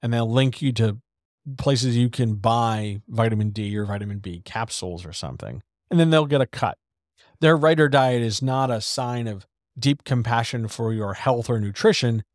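Relaxed man hosting a writing chat. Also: medium pitch, moderate pace, no room sound, clean studio sound. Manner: conversational, loose, with fillers and asides. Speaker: host of a writers' chat. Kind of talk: casual live-stream teaching chat.

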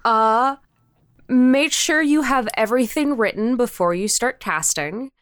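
A woman's voice: on the high side, unhurried, fairly bright tone, smooth. A woman speaking casually and frankly as a guest creator in a podcast interview.

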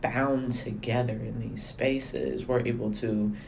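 A man with a medium-pitched voice co-hosts a casual, reflective discussion. He talks informally, reworking ideas through everyday examples. There is slight noise behind him. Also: level tone, takes it slowly, vocal fry, a little mellow. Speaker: podcast co-host.

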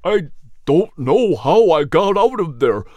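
A man voicing a hurt, weary male hound dog who talks haltingly, in a storybook reading. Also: on the high side, sing-song, darkish tone, no room sound, pitched above the performer's own voice. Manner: halting, dazed, hesitant.

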